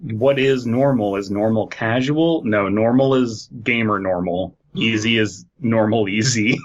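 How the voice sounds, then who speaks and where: Low-voiced man, enthusiastic podcast talker. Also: unhurried, muffled recording. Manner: enthusiastic, emphatic. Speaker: podcast host. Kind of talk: casual video game discussion.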